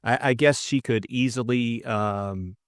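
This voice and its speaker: A man's voice: mid-range voice, unhurried, dry recording, clean studio sound. A middle-aged man co-hosting an audiobook, narrating and chatting informally about the book in a hesitant way. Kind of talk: narration and casual book discussion.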